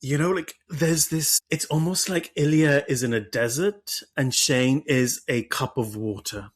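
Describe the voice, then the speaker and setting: A man hosting a podcast, talking through a book club discussion with animated enthusiasm and frequent restarts. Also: medium pitch, unhurried. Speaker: podcast host.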